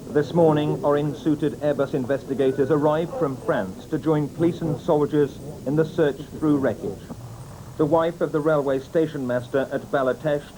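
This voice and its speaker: A man with a British accent narrating a scripted news report in a sober, measured manner. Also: fairly high pitch, fairly even intonation, a crowd in the background, poor audio. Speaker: news correspondent.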